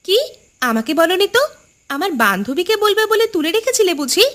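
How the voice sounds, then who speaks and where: A woman's voice: very high voice, big pitch swings, brisk, a bit bright, exaggerated voice. A woman playing a touchy, coquettish young village girlfriend in a cartoon, pouting and reproachful. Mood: confiding, insistent. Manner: pouty, reproachful. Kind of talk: Bengali cartoon dialogue.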